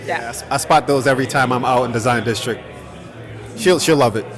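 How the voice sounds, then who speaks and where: A man's voice: mid-range voice, brisk. A man hosting a vlog at an event, upbeat and speaking straight to his viewers while interviewing guests. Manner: upbeat, addresses viewers directly, friendly interviewer. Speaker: watch vlogger, host. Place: busy indoor event. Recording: music playing behind.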